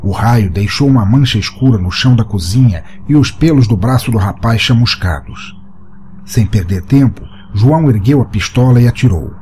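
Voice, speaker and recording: Male narrator for audio short fiction: medium pitch, dark soft tone, measured dramatic read, music bed. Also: animated. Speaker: podcast narrator. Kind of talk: scripted short-story narration. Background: music under the voice.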